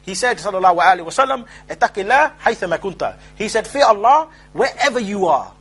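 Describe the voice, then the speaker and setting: A man giving an informal street-style lecture as a preacher, rapid and emphatic with mocking asides. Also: high-pitched, expressive, a bit bright, slightly rough.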